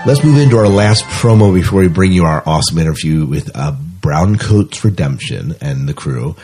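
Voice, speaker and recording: Low-voiced man hosting a casual podcast. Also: expressive, moderate pace, mellow, faint music behind. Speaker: podcast host. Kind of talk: casual conversational podcast chat.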